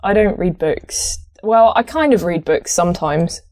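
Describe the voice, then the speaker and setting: A young woman from France, French-born, speaking with an East End London accent as a podcast co-host, loud, laughing often and swearing in casual chat. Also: on the low side, lively intonation, unhurried, no room sound, clean studio sound.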